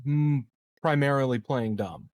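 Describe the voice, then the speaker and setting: A man playing a tabletop game, casually planning out loud in halting fragments. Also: mid-range voice, no room sound, studio quality. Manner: halting, thinks aloud in fragments. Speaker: player in a tabletop roleplaying game.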